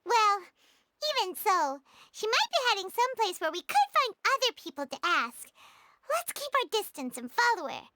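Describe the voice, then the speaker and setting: A woman voicing a tiny, excitable fairy companion in a video game, with a squeaky childlike voice and a chatty, exclamatory manner. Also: moderate pace, background noise. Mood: determined. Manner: exclamatory, refers to herself in third person. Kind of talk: animated video game voice acting.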